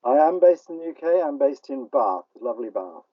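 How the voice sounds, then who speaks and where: A man's voice: medium pitch, sing-song, fairly quick, no room sound. An older man with a British accent answering interview questions conversationally as a playwright, a little hesitant, over a remote call line. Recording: dull, band-limited call line.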